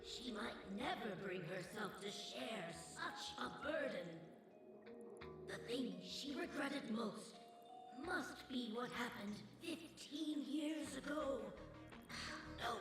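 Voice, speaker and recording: A woman's voice: on the high side, expressive, distant mic. An actress voicing a wary, formal female guardian at a school in a game. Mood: guarded. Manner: formal, measured, cautious. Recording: echoey game audio with reverb and clear music.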